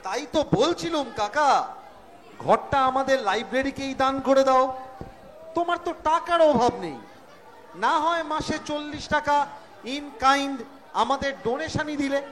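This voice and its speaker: A man's voice: very high-pitched, moderate pace, pauses often, a bit bright, some chatter behind. A man playing a cheeky young nephew, teasing in a wry, mock-earnest way in a staged comic audio drama. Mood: teasing, coaxing. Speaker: amateur actor, schoolteacher. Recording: stage recording with audience noise.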